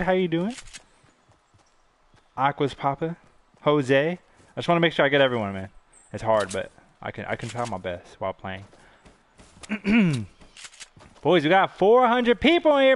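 Hoarse young man streaming games. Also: medium pitch, a little hiss or hum. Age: young adult. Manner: hype-driven, slangy, thanking donors between plays. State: hoarse, losing his voice. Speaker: game streamer. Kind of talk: live gameplay commentary with chat shout-outs. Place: indoor gaming room.

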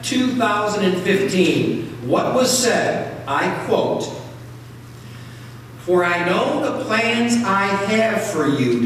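A middle-aged American man preaching a sermon as a pastor, phrasing emphatically and deliberately with dramatic pauses. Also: very high-pitched, a bit bright. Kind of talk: sermon from a pulpit. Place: large church sanctuary, at pulpit. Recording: very echoey church hall, distant mic, noisy.

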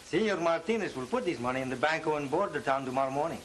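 An actor playing a sly, jovial Mexican messenger in an old western film, with a Mexican accent and effusive, courteous manners. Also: male voice, a little high, quick pace, fairly bright tone, lightly acted, rough recording. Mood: sly, feigned innocence. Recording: hissy old film soundtrack.

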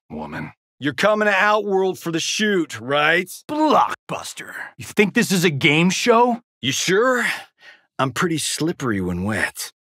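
A man's voice: a little high, sharp tone, slightly rough, dry recording, studio quality, exaggerated voice. An actor playing a cocky, wisecracking male Hollywood action star in a fighting video game, with a smug, flippant, showboating delivery.

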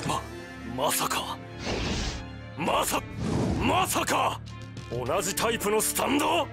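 A godlike vampire villain from a video game, pompous and menacing. His voice rides high and swings dramatically in pitch, with slow, theatrical delivery over prominent music. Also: wildly swooping pitch, creaky, exaggerated voice. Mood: shocked, outraged. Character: grandiose, ruthless male vampire villain with godlike powers. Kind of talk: Japanese video game voice acting.

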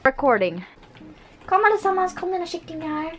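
A woman's voice: very high-pitched, sing-song. A young woman casually chatting while playing a horse game, playful and giggly, recorded at a desk webcam in a small room. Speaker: vlogger. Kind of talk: casual gameplay commentary.

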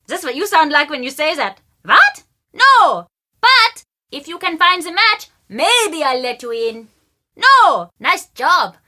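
Game role: woman as mischievous young boy. Lively swooping delivery, high-pitched, cheeky, dry close recording. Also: slow pace, no room sound, exaggerated voice. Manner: childlike, cheeky. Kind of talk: video game character acting.

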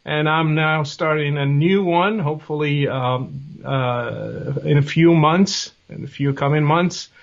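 A man's voice: a little high, a little slow, creaky, few pauses. A man in his forties from Morocco, answering interview questions over a video call from a home office, friendly and hesitant with many fillers. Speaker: guest. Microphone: webcam video call. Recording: dull lo-fi video call audio.

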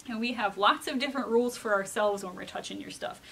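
A woman's voice: medium pitch, quick pace, vocal fry, pushed and bright, slight background noise. A woman in her thirties, a children's librarian, talks casually to kids, teaching through questions and frequent check-ins. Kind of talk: casual explanatory talk to children. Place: indoor library children's room.

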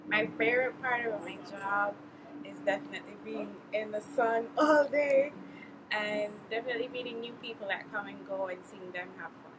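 A woman's voice: medium pitch, fairly even intonation, moderate pace. A woman in her twenties with a Jamaican accent giving a testimonial on camera. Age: 20s. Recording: noisy outdoor pool area.

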